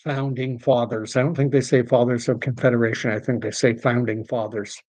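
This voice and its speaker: A middle-aged man hosting a late-night livestream, rambling and opinionated as he reads and answers viewer chat, his voice tired and getting hoarse. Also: medium pitch, flat delivery, a little fast, a little mellow. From Canada. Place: home streaming setup.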